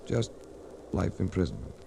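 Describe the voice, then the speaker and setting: An actor playing a cool, unsentimental private investigator in a 1940s radio drama, with a clipped, sardonic, accusing way of talking. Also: male voice, low voice, big pitch swings, normal speed, creaky, mellow, heavily acted. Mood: bitter, grim. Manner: clipped, sardonic, hard-boiled. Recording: noisy vintage 1940s radio recording.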